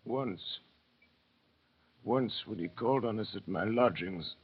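An actor playing a frail, dazed old doctor and former prisoner in an old-time radio drama, grave and halting, repeating himself. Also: male voice, fairly low pitch. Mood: weary, grave. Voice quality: husky. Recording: tinny, narrow vintage radio recording.

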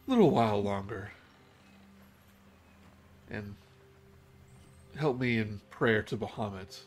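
Powerful female dragon, solemn and gracious, low voice, played by a man. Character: powerful female dragon leader, calm and grave.

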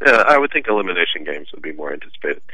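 A man's voice: low voice, sing-song, very fast, sharp tone, dry recording, lo-fi sound. A man, a sportswriter guest on a radio show, talking casually and thinking aloud with fillers. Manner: thoughtful, hesitant with fillers.

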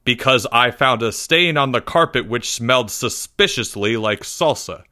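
A man's voice: medium pitch, expressive, normal speed, bright, forceful tone, dry recording, clean studio sound. A man voicing a stern, suspicious schoolteacher in a story, clipped and authoritative. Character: stern, suspicious male schoolteacher, easily exasperated.

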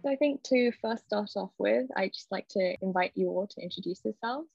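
A woman's voice: medium pitch, fairly quick, creaky, lo-fi sound, music in the background. A woman co-hosting a podcast, politely introducing guests and moderating a roundtable. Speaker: podcast co-host.

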